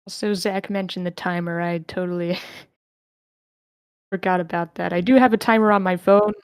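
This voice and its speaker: A woman's voice: fairly low pitch, steady tone, normal speed, clear, resonant tone, no echo. A woman hosting an online group meeting, chatting casually as she moderates. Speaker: host of an online script reading session.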